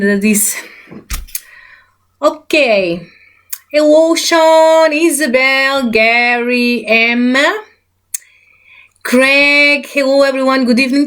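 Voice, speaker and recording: Portuguese-accented woman, a psychic medium hosting a live stream, chatty, fairly high voice. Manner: chatty, greets viewers by name, repeats catchphrases. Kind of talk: casual live-stream chat.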